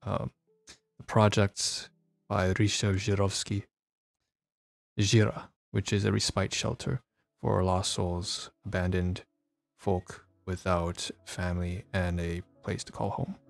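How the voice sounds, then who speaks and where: A man's voice: low-pitched, level tone, slow pace, gravelly, no echo, soft background music. A man streaming a casual vlog, rambling with lots of fillers and restarts, sounding congested. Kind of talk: casual livestream vlog talk. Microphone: phone.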